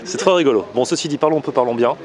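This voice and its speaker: A man's voice: mid-range voice, very fast. A man talking casually and informally to camera as a vlog host, explaining things as he goes. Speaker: food vlogger and host. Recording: loud music under the voice.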